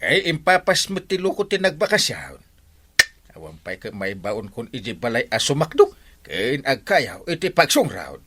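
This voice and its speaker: A man's voice: medium pitch, very animated, normal speed, a bit bright, slightly rough, exaggerated voice. A man playing a boisterous, comical village captain in an Ilocano radio comedy, with stammering, exclamations and cackles. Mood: teasing. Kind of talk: comedic radio drama acting.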